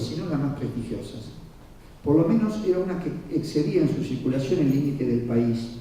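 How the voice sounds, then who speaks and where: A man's voice: mid-range voice, mellow. A man giving a formal history lecture, didactic, reading from notes with asides and checking in with his listeners. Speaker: lecturer at a conference. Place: large reverberant conference room. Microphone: distant microphone. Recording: very echoey hall, muffled, far from mic.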